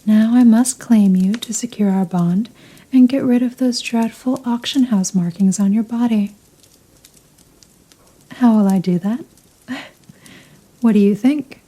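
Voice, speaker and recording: A woman playing a possessive, seductive female vampire in an ASMR roleplay, speaking in a teasing, formal, softly commanding manner with breathy murmurs. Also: a little high, measured pace, long pauses. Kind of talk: ASMR roleplay, scripted intimate monologue.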